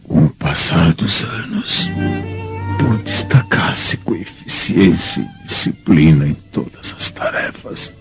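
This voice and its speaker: An actor playing an ailing, weary old Roman patriarch in a Brazilian radio drama, with a breathy, faltering voice and Brazilian accent. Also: male voice, deep voice, wildly swooping pitch, heavily acted. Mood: earnest, weak. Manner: slow, halting delivery. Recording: muffled audio with faint music.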